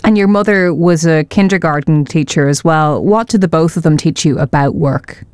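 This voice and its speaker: A woman's voice: sits low, normal speed, darkish tone, no echo. A woman hosting an interview, asking direct questions that prompt her guest's story. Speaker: interview host.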